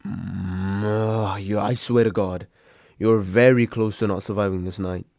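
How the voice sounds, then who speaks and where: A man's voice: on the low side, fairly even intonation, measured pace, a little mellow, dry recording, clean studio sound. A young man acting a grumpy, sarcastic but secretly caring roommate woken from sleep, grumbling and sighing in an intimate roleplay audio drama. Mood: exasperated, protective. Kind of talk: intimate roleplay audio drama, one side of a phone call.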